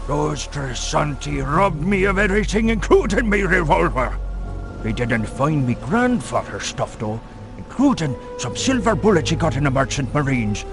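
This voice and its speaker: A middle-aged coastal villager, bitter and folksy. His fairly high voice swings widely in pitch as he rambles in rustic speech. Music plays clearly behind this game dialogue. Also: sing-song, moderate pace. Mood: wary, helpful. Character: bereaved working-class coastal man, bitter and folksy. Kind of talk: video game voice acting.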